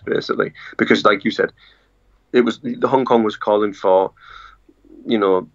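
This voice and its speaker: A man with a northeast English accent speaks as a journalist guest, his voice low and gravelly. He talks haltingly, with frequent pauses and restarts. The remote call audio sounds dull and lo-fi. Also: fairly bright tone. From northeast England. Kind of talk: casual remote interview.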